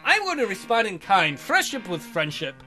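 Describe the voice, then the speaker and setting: A man's voice: sits high, wildly swooping pitch, moderate pace, pushed and bright, soft background music. A man hosting a let's play, reading a text adventure aloud with goofy, crude asides.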